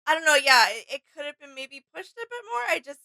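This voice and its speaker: High, bright-voiced woman co-hosting casually. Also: big pitch swings, quick pace, no echo. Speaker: reaction video co-host. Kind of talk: casual reaction chat.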